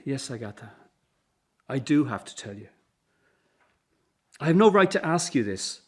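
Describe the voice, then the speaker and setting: An actor in a radio drama playing a weary, earnest circus boss and troubled son, with an Irish accent. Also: male voice, mid-range voice, very animated, measured pace, husky. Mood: tender, earnest.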